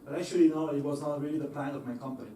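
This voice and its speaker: A man's voice: fairly high pitch, steady tone, racing, dark tone, slight background noise. A young man presenting to an audience in a lecture hall, with many fillers and restarts. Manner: hesitant, many fillers and restarts, laughs easily. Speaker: engineer giving a talk. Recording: echoey hall, voice from far off.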